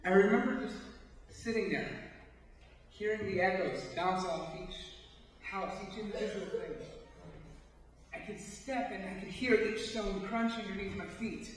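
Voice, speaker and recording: A man's voice: high voice, unhurried, pitched above the performer's own voice. Man acting a haunted murderer, echoey and distant. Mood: brooding, remembering. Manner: brooding, agitated, repetitive. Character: haunted, tormented male murderer confessing his night walk. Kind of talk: dramatic amateur stage monologue. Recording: echoey hall, far off, noisy.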